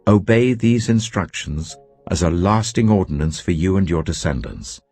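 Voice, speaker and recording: A man with an English accent voicing Moses, an elderly leader giving grave, measured orders. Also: deep voice, animated, a little mellow, slightly rough, dry recording, soft background music, pitched above the performer's own voice, exaggerated voice. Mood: solemn.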